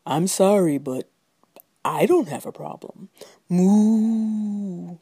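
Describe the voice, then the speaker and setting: A woman's voice: mid-range voice, very slow, warm tone, breathy edge, no room sound, studio quality, exaggerated voice. A woman voicing a politely unhelpful female cow in a children's story, with moos around mild apologies. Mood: politely sorry.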